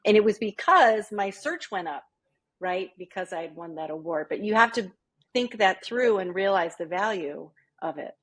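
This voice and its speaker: Husky woman, chatty business coach. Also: medium pitch, expressive, moderate pace, fairly bright tone. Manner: chatty, keeps asking "right?". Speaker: small business coach, guest. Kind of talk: podcast interview.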